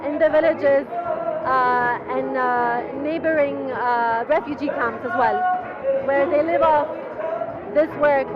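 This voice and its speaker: Earnest woman at a packed festival, high voice, blaring music and crowd behind her. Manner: earnest, heartfelt. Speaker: festival exhibitor. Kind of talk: interview at a festival. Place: festival grounds. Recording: lo-fi recording over loud music and crowd.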